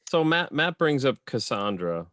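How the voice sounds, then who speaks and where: A man's voice: mid-range voice, very animated, normal speed, a bit bright, no echo, clean studio sound. A man speaking as a panelist on an online fan convention panel, thoughtful and conversational with some stammering. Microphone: video call.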